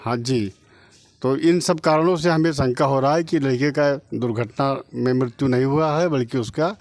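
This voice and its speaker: A man's voice: medium pitch, normal speed, a little mellow, no echo. A middle-aged man from Uttar Pradesh giving testimony in an interview, insistently arguing point by point and repeating his claims. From Uttar Pradesh, India. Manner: insistent, argues point by point, repetitive. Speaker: relative of the victim being interviewed.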